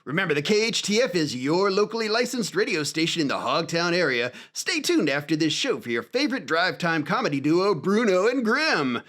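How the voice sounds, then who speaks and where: A man's voice: high-pitched, very animated, brisk, hardly any pauses, fairly bright tone. A man playing a wisecracking local radio announcer with exaggerated, tongue-in-cheek DJ patter. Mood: cheeky, upbeat.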